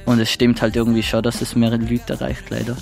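A man's voice: medium pitch, monotone, brisk, creaky, darkish tone, faint music behind. A teenage man with a Swiss German accent and Italian as his first language, answering interview questions hesitantly. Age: teens. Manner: hesitant, with fillers and restarts. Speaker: young rapper interviewed.

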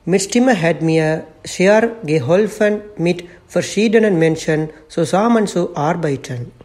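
A man from Sri Lanka reads his personal statement in a high voice. He is not a native speaker of German. He takes his time and speaks slowly and carefully. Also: darkish tone. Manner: slow, careful non-native delivery. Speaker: community member.

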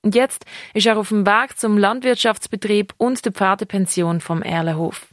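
A woman hosts a radio show from the studio, announcing in a smooth scripted manner. Her mid-pitched voice is bone dry, with no room around it. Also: steady tone, normal speed, dry recording. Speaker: radio host.

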